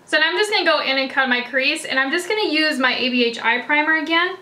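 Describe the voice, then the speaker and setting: A young woman in her twenties chatting through a makeup tutorial, a little raspy after hiccups, full of "like" and "kinda". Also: high voice, expressive, fast, pushed and bright, a little hiss or hum, low-quality recording. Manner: chatty, many "like" and "kinda" fillers. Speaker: beauty vlogger. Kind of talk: casual makeup tutorial talk. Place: indoors before a plain backdrop.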